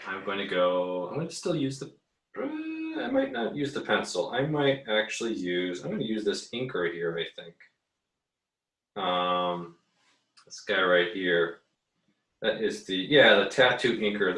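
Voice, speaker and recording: A man giving a casual drawing tutorial as an art teacher, thinking aloud in a halting, muttering way while he works. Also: lowish voice, a bit bright. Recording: roomy echo, distant microphone.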